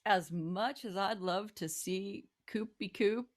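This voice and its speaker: A woman's voice: medium pitch, takes it slowly, crowd noise. A woman hosting a talk show in casual, playful chat.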